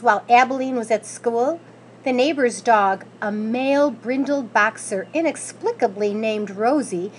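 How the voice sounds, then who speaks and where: High-pitched, expressive woman storyteller. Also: slight background noise. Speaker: school staff member reading aloud.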